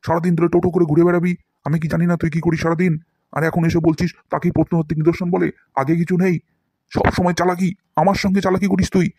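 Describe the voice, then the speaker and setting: A man's voice: high voice, flat delivery, racing, darkish tone, breathy edge, no echo. A man voicing a strict, grumbling history teacher in a comedy cartoon, with a bossy, scolding manner. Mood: angry.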